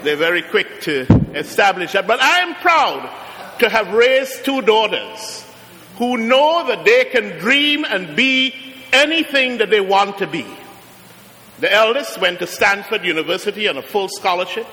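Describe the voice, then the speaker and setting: A middle-aged man from Trinidad with a Trinidadian accent, preaching a sermon as a guest preacher, slowing down and rising to emphatic peaks. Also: high voice, animated, pushed and bright. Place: large church. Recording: long church reverb.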